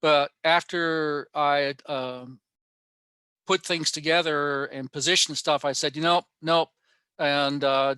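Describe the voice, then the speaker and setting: A man giving an informal technical presentation online, pausing often in mid-sentence as he explains. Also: fairly high pitch, fairly even intonation, sharp tone, clear, resonant tone, no room sound. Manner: halting, with frequent mid-sentence pauses. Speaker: amateur radio club presenter. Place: online workshop talk.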